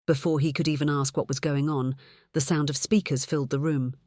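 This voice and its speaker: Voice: mid-range voice, fairly even intonation, brisk, darkish tone, no echo, studio quality. An audiobook narrator reading a scripted story.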